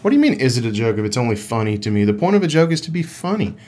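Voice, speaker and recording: A man's voice: medium pitch, very animated, racing, darkish tone. A man co-hosting a gaming podcast, chatting casually in a playful, rambling, explanatory way. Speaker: podcast co-host.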